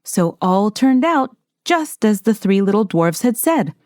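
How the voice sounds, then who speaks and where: A woman reading a fairy tale aloud as an expressive, scripted narration. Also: medium pitch, measured pace, no room sound, studio quality. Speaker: storyteller, audiobook narrator.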